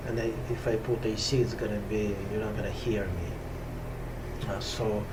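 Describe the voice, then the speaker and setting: A man from Russia speaking English with a Russian accent, talking slowly and hesitantly with many pauses and fillers in a casual spiritual talk to camera. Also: fairly low pitch, fairly even intonation, noisy background. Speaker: yoga teacher. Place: top-floor room at home on a hot day.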